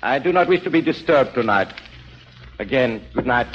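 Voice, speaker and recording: An actor playing a haughty, shabby-genteel gentleman with stiffly formal, precise speech in a 1940s radio drama. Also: medium pitch, expressive, normal speed, slight background noise, natural voice. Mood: proud, formal. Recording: dull lo-fi 1940s radio recording.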